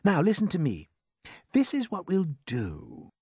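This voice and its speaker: Young storybook boy, read by a man: earnest, polite, determined, higher register. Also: huge pitch swings, unhurried, a little mellow, slightly rough, dry recording, studio quality, full character voice. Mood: persuasive.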